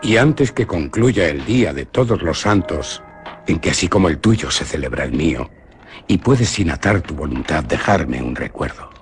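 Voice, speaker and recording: Brief: a young noble hunter from Spain, boastful yet frightened, low, very expressive radio drama delivery. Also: male voice, moderate pace, soft background music. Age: young adult. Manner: dramatic, swings from boastful to fearful.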